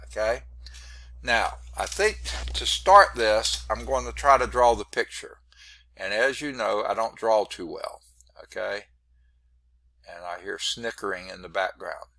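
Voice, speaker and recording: Hoarse, congested man teaching online. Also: fairly low pitch, lively intonation, a little slow, bright, forceful tone, no room sound. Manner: rambling, self-deprecating, narrates his screen fiddling. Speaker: math instructor. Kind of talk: online class lecture. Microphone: computer mic on a video call.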